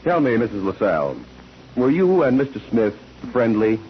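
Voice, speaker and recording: An actor playing a formal, relentless courtroom examiner who questions witnesses deliberately in a 1940s radio drama. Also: male voice, mid-range voice, big pitch swings, close to an everyday voice. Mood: probing. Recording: muffled, noisy old 1940s radio recording.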